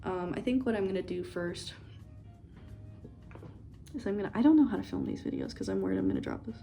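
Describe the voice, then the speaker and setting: A woman's voice: on the low side, wildly swooping pitch, fairly quick, creaky, mellow, faint music behind. A young woman in a dorm room, rambling playfully as she does her makeup for a get-ready-with-me video. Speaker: beauty vlogger. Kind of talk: casual get-ready-with-me chat.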